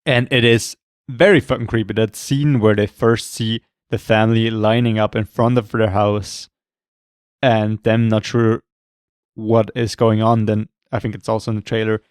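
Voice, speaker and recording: Hoarse-voiced man chatting about films. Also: lowish voice, flat delivery, normal speed, no room sound, clean studio sound. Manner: rambling, swears often, many fillers. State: losing his voice, throat clearing. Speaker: podcast co-host. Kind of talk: casual film chat.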